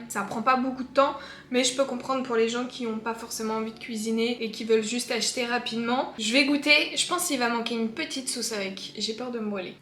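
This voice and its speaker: A woman's voice: a little high, a bit bright. A young woman casually chatting as she tastes food for her vlog, candid and full of fillers. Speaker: food-tasting vlogger. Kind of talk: casual taste-test chat. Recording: echoey room with faint background hum.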